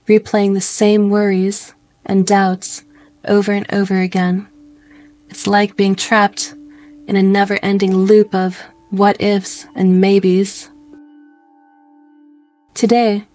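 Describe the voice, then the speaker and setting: Soothing woman guiding a meditation. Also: medium pitch. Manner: gentle, soothing, slow phrasing with pauses. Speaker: meditation guide. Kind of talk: guided meditation narration.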